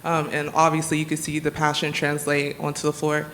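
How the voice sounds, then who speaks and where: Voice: mid-range voice, monotone, fairly quick, a bit bright, a little hiss or hum. A reporter asking a polite, somewhat hesitant question at a press conference. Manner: polite, hesitant, filler-heavy.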